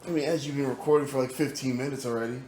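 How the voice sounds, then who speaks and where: A man co-hosting a podcast in casual chat, animated and quick to laugh, his voice rising when he teases. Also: mid-range voice, a little hiss or hum, low-quality recording. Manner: animated, laughs often, raises voice when teasing. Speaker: podcast co-host. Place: studio.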